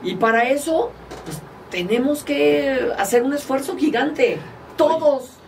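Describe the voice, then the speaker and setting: A woman's voice: high voice, lively intonation, takes it slowly, vocal fry, far from the mic, slight background noise. A woman from Mexico, a guest politician, chatting casually and animatedly in an interview, often laughing as she tells stories. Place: small studio, indoors. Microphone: table mic, far from speaker.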